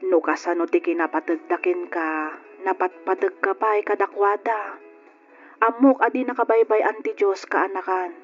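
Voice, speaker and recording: A woman playing a consoling, pious middle-aged aunt in an old radio drama, earnest and gently admonishing. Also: mid-range voice, steady tone, normal speed, close to an everyday voice. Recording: dull lo-fi radio drama with music.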